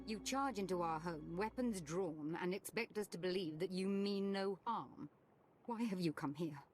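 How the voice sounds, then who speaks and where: A woman's voice: fairly low pitch, normal speed, soft background music, natural voice. A woman playing a proud, protective middle-aged noblewoman in a video game, speaking in a formal, dramatic and disdainful manner. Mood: indignant, suspicious. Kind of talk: video game cutscene acting.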